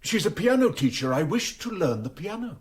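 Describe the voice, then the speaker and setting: An actor playing a defensive, exasperated husband with a clipped, formal way of speaking in a radio drama. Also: male voice, high voice, very animated, normal speed. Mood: defensive, irritated.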